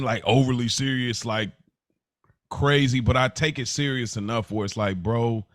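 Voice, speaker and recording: A man's voice: mid-range voice, fairly bright tone, no room sound. Earnest man chatting, close and dry. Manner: earnest, emphatic, colloquial, often says "bro". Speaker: podcast co-host. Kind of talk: casual reflective podcast talk.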